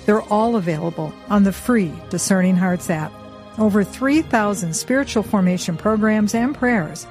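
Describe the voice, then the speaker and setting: A woman announcer reading a scripted podcast promotion in a clear, upbeat way. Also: medium pitch, darkish tone. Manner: clear, upbeat, listing names steadily. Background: faint music.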